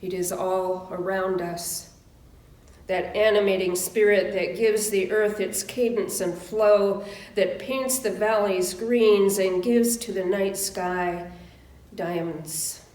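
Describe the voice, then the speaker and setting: A woman minister speaking a reverent prayer in a solemn, measured way, with deliberate pauses between phrases. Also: medium pitch, steady tone, a little mellow. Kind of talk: reverent spoken prayer and benediction. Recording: roomy echo.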